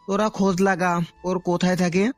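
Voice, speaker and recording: A man's voice: high voice, flat delivery, normal speed, soft background music, natural voice. A man playing an angry, commanding middle-aged father in an audio story.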